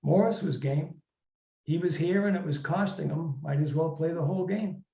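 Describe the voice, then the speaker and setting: In a roomy, slightly dull recording, a male author narrates his own literary short story. His voice is mellow. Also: a little high, normal speed, gravelly. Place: indoor room at a reading event.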